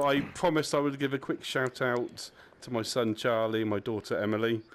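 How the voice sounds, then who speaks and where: A man from England with an English accent, giving a casual, self-deprecating intro and chatting with other players. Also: mid-range voice. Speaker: video maker and player.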